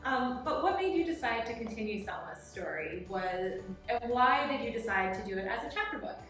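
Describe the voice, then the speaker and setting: A woman's voice: a little high, animated, fairly quick, a bit bright, faint music behind. Enthusiastic woman interviewer in very echoey room. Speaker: editor and interviewer. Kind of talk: casual interview chat.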